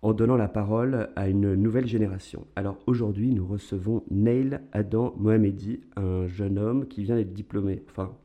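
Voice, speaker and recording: A man's voice: fairly low pitch, steady tone, normal speed, few pauses, narrow, tinny audio. A man hosting an interview podcast, talking casually with frequent hesitations. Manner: hesitant, many fillers. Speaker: podcast host. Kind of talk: conversational interview.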